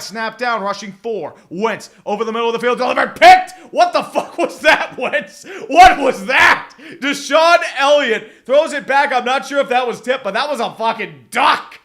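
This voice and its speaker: A man's voice: very high voice, moderate pace, hardly any pauses, fairly bright tone. A man giving casual, profane live fan commentary on a football game, calling plays and rambling with exasperated restarts. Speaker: fan play-by-play streamer.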